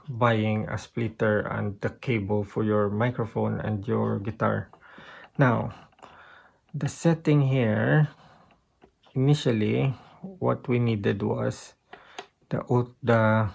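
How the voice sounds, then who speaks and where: A man with a Filipino accent giving a casual, unscripted guitar gear demonstration, haltingly thinking aloud as he goes. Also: lowish voice, gravelly, husky. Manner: halting, thinking aloud while pointing out settings.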